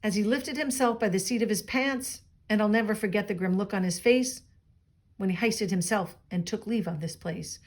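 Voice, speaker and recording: A woman reading a children's story aloud expressively, pausing for simple asides to young listeners. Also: mid-range voice. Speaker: storybook reader.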